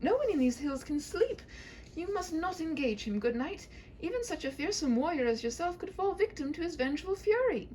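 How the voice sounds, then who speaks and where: A woman's voice: extremely high pitch, sing-song, fairly quick, a little mellow, a little hiss or hum, voice pushed up, poor audio. A woman voicing a prim, tender-hearted young princess in a comic fairy tale, earnest and formal. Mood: pleading.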